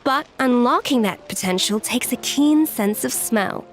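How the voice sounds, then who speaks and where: A woman playing a poised, self-assured gourmet chef in a video game, speaking in a measured way. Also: a little high, expressive. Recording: music behind the voice. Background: music.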